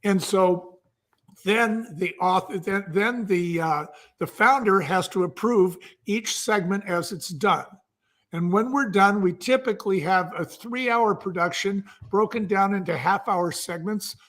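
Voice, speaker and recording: A man with a notably high-pitched voice speaks as an interview guest. He walks through a business process step by step in a conversational way, using everyday examples. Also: a little slow, fairly bright tone.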